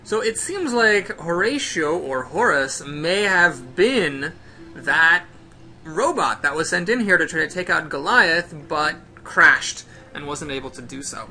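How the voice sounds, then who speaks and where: A male game host with a bright, forceful voice. He narrates the play-through like a storyteller, stopping to recap and explain in a presenter's manner. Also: a little high, lively intonation, normal speed, gravelly, soft background music.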